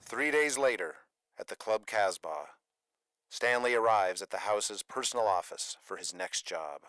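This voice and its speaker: A man's voice: lowish voice, expressive, a little slow, a bit bright, no room sound. A man delivering dramatic scripted narration for a radio drama.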